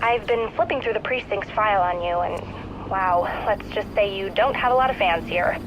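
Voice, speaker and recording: A woman's voice: mid-range voice, normal speed, lo-fi sound, background noise, natural voice. A woman playing an eager, earnest teenage sidekick in a video game, chatty, casual and confiding. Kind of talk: naturalistic video game voice acting.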